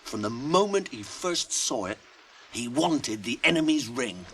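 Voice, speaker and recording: An actor in a radio drama playing a loyal, plain-spoken manservant, humble and protective, with homely, deferential phrasing. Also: male voice, medium pitch, lively intonation, measured pace, a bit bright. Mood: earnest, respectful. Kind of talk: 1980s radio drama acting. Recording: radio drama with clear background noise.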